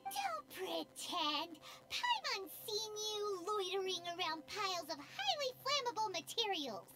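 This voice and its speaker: Tiny fairy companion, very high squeaky voice, sing-song, bossy and dramatic, game music behind. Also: female voice, very animated, unhurried, exaggerated voice. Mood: skeptical. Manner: speaks of herself in the third person, bossy and dramatic. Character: tiny floating female fairy companion, chatty and excitable. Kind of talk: video game cutscene voice acting. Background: game soundtrack music.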